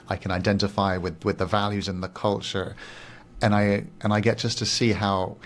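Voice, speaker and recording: A Swiss-born man in his thirties, a guest coach on a radio interview, speaking in a soft, reflective way and often repeating words as he gathers his thoughts. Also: low voice. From Switzerland.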